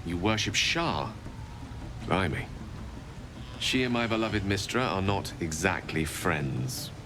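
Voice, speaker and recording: A man playing an urbane, curious, genially wry wizard in a video game, with eloquent, measured delivery. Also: low-pitched, animated, over music. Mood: surprised, wry. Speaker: video game companion character. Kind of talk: game dialogue.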